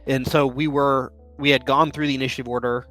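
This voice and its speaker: A man recovering from being sick, his voice deeper than usual, recapping a tabletop game as game master in a laid-back, methodical way. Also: flat delivery, brisk, bright, forceful tone, faint music behind, poor audio. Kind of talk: casual tabletop game narration.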